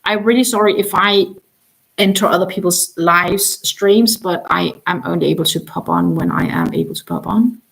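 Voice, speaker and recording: A woman's voice: lowish voice, expressive, normal speed, gravelly, rough recording. Chatty woman. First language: Danish. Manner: chatty, many ums, trails off and restarts. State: tired after a long day. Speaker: crochet livestream host. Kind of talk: casual livestream chat.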